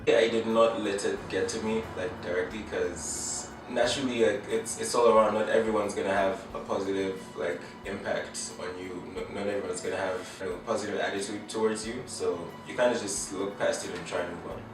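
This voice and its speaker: A young man from Uganda, an international student, giving measured, somewhat hesitant interview answers. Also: lowish voice, steady tone, recorded from a distance. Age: young adult. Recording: echoey room, heard from afar with noise.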